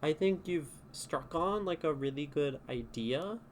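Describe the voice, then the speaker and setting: A man's voice: fairly high pitch, unhurried, slight background noise. A man critiquing a poem on a live stream, in a casual way, thinking aloud as he rereads lines and tries out edits. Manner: thinking aloud, reading lines back and reworking them. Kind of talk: casual spoken poetry critique. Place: home streaming setup.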